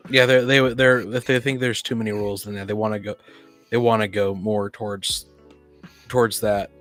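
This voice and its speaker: A man's voice: on the low side, flat delivery, normal speed, fairly bright tone. Opinionated man chatting over faint music. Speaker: podcast co-speaker, experienced game master. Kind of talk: casual chat.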